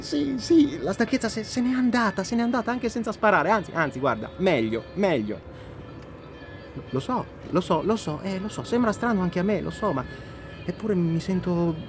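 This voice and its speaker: An actor playing an elated, restless man on a phone call to his beloved in a radio drama, halting and repeating himself insistently. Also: high voice. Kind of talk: naturalistic radio drama acting, one side of phone call.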